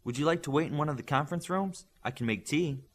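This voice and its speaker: A man's voice: a little high, expressive, fast, voice pushed up. A man voicing a hospitable, polite Japanese mother and scientist in an audiobook, with a welcoming, courteous manner.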